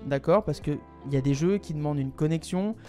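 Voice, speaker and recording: A man's voice: on the high side, fast talker, mellow, soft background music. A man hosting a casual live stream, rambling with fillers and talking to his chat. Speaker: streamer and show host.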